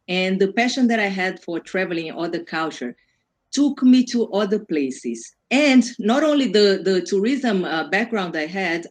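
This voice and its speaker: Brazilian woman presenting an informal workshop. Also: medium pitch. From Brazil, Amazon region. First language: Portuguese. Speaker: workshop presenter, self-development coach.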